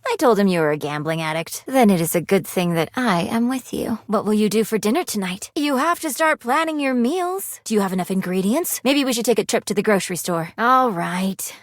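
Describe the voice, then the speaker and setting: Actress as earnest, money-minded young female game character: proper, exact diction, medium pitch, dry recording. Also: animated, brisk, hardly any pauses, no room sound, lightly acted. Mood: prim, advising. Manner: formal, precise wording with few contractions. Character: prim, earnest, thrifty young woman, living money personified, game dating character. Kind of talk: video game character dialogue.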